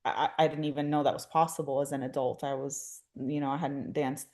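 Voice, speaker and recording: Woman from syria, low voice, thoughtful and halting, lots of ums. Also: flat delivery, darkish tone. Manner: reflective, many fillers and restarts. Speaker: therapist, dance instructor guest. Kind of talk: casual interview conversation.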